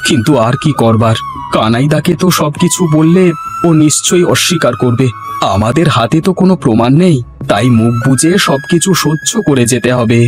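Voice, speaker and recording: একজন পুরুষের অভিনয়ে সরল, কাতর গ্রাম্য জেলে. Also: male voice, medium pitch, lively intonation, moderate pace, natural voice. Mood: puzzled, resigned. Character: humble, honest village fisherman husband, distressed and trusting. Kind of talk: animated Bengali folk-tale dialogue. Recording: music behind the voice.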